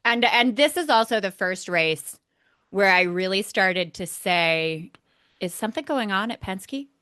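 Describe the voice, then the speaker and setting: A woman's voice: medium pitch, lively intonation, unhurried, sharp tone, clean tone, no echo, clean studio sound. A woman co-hosting a casual motorsport recap show, talking in an emphatic, opinionated way. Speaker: podcast co-host.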